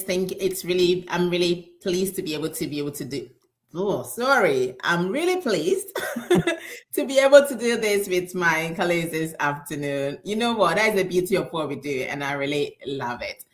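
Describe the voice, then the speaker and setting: Low-voiced woman introducing herself. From Ghana and Italy. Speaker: panel guest. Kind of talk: live online panel chat.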